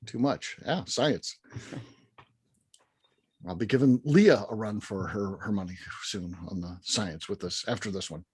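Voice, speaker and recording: A man's voice: on the low side, measured pace, darkish tone, breathy edge, no room sound. A man hosting a bourbon tasting in a casual chat, steering the group and laughing often.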